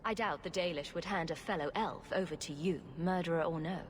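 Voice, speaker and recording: A woman's voice: lowish voice, brisk, slight background noise, lightly acted. A woman playing a wry, self-assured adventurer champion in a video game cutscene, with a dry, questioning manner.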